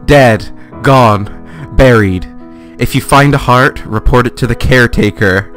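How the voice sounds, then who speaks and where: A man giving a theatrical, deliberate dramatic reading of a fantasy guidebook. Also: mid-range voice, a bit bright. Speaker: let's play gamer. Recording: guitar music behind voice. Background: guitar music.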